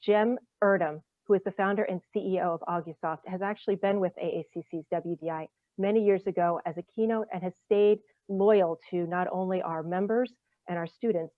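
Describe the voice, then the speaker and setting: A woman's voice: fairly low pitch, no room sound. A woman hosting a conference gives a formal, scripted introduction of the next speaker. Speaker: conference host. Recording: dry tinny phone-like audio.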